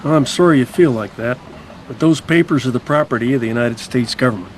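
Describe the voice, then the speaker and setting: A man's voice: mid-range voice, quick pace, close to an everyday voice. A man playing an officious American government envoy in an old-time radio mystery, formal and patronizing. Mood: polite, officious. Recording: noisy old radio drama recording.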